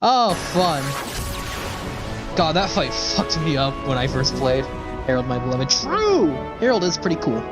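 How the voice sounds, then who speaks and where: Rambling man streaming, slightly high voice. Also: sing-song, a little slow, music in the background. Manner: rambling, sweary. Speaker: video game livestreamer. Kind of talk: casual chat with viewers while playing.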